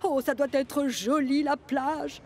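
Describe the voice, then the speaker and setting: A hardworking female locomotive in a children's cartoon. Her voice is high and firm, with emphatic, no-nonsense phrasing. Faint noise sits behind it. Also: fairly even intonation, slow pace. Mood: wistful. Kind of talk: children's cartoon dub acting.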